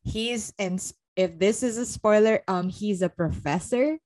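A woman's voice: mid-range voice, measured pace, darkish tone. A young woman co-hosting a podcast, giving exasperated, opinionated takes in a casual book discussion. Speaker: podcast co-host.

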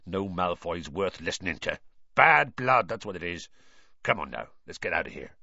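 A gruff, blunt giant of a man, as a male narrator puts him on, low and rumbling. The reading is recorded dry and sounds a bit muffled. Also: big pitch swings, moderate pace, bright, forceful tone, no room sound, exaggerated voice.

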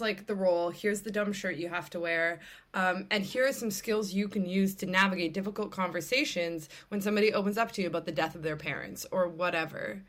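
A woman's voice: medium pitch, fairly bright tone. A woman hosting a casual conversational interview, talking in a candid, informal and emphatic way. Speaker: podcast host.

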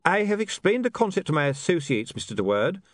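A man voicing a courteous beggar in an audiobook, speaking with formal, measured care. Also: a little high, big pitch swings, dry recording, studio quality, pitched above the performer's own voice. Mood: formal, patient. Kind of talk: audiobook character voice.

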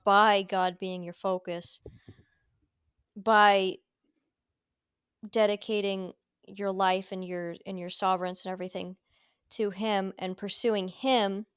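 A woman co-hosting a casual faith podcast, earnest and reflective, her voice a little hoarse and worn. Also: mid-range voice, no room sound, studio quality. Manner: earnest, reflective, with frequent restarts and laughs. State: losing her voice, slightly hoarse. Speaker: podcast co-host.

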